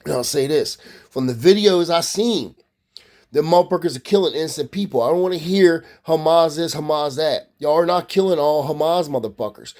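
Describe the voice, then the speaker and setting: A man's voice: fairly high pitch, brisk, a little mellow. A man from Maryland, USA, delivering a profane, rambling casual monologue as a vlogger.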